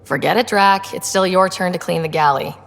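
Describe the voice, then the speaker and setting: Young female commander, lowish voice, level-headed, reassuring, game dialogue, reverberant, music behind. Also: brisk, gravelly. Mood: casual, dry. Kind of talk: video game character acting.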